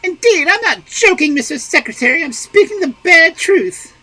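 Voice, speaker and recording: A voice performing a nagging old peasant wife in a storybook reading, with a shrill, exclamatory manner. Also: female voice, animated, normal speed, bright, forceful tone, exaggerated voice, rough recording. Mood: scolding.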